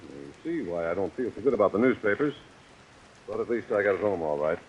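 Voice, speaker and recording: A man acting as a gruff, wealthy old boss in a 1940s radio drama, brusque and offhand. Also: on the low side, lively intonation, fast, lightly acted. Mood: offhand, proud. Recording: dull, hissy vintage radio recording.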